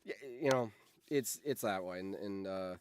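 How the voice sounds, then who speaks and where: A man's voice: sits low, normal speed, soft background music. A young man hosting a casual livestream, talking in a rambling way that often trails off, close to the mic. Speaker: streamer and host.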